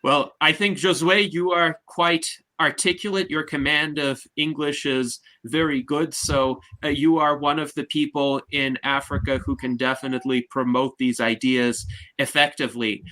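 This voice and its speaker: A man hosting an online panel, talking in a measured, formal and orderly way and handing the floor to each guest. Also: fairly high pitch, fairly even intonation, bright, forceful tone, low-quality recording. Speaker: host and moderator.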